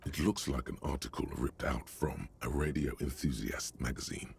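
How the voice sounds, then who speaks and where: A man voicing the omniscient narrator of a detective video game, delivering scripted descriptions in a slow, weighty way. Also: a bass voice, steady tone, vocal fry, slightly rough, full character voice. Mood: calm.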